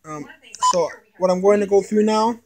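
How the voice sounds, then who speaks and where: A woman giving a casual product demonstration, haltingly thinking aloud as she reads out menu items. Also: mid-range voice, vocal fry. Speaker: amateur product reviewer.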